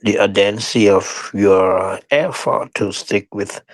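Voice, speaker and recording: A man teaching guided meditation in short, unhurried phrases with pauses and non-standard English grammar. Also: on the low side, no room sound.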